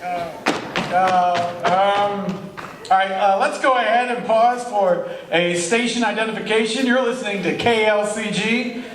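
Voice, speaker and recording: A man's voice: high voice, a little slow. A man playing a pedantic, casual radio station sidekick with a hesitant, nitpicking way of talking in a live parody sketch. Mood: flustered. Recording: echoey hall, distant, faint noise.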